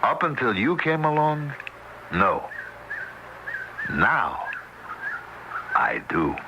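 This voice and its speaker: An actor playing a gruff, worldly-wise American husband, brusque and anxious, in mid-century radio drama style. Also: male voice, mid-range voice, wildly swooping pitch, extremely slow, muffled recording, exaggerated voice. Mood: brisk, instructive. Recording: hissy old radio play recording.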